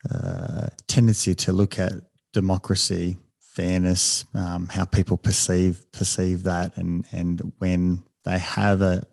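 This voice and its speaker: A man's voice: low voice, monotone, creaky, a little mellow, no room sound. A man hosting a podcast, asking long questions with frequent repeated words and restarts. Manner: halting, repeats words often, enthusiastic. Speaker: podcast host. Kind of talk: interview questions.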